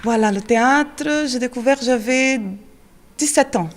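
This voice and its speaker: A woman in her thirties from Brazil, a drama teacher and actress, answering interview questions in French with a Brazilian Portuguese accent, often pausing with fillers and searching for words. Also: high-pitched. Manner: searching non-native French, frequent fillers and quick laughs.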